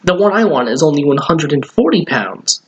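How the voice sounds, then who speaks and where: A man's voice: a little high, big pitch swings, moderate pace, muffled recording. A man voicing a whiny, sulky schoolboy who pleads and protests, as in a storybook reading. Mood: excited wheedling.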